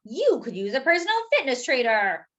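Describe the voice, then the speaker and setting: A woman's voice: high-pitched, sing-song, moderate pace, fairly bright tone, lo-fi sound, pitched above the performer's own voice. A woman voicing a talkative little male doughnut, eager and dramatic, in a children's storybook reading. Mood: hopeful pitching.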